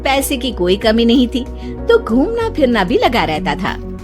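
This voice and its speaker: Animated female storyteller, high voice. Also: measured pace. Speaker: story narrator. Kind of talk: scripted storytelling narration. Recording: music behind the voice. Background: music.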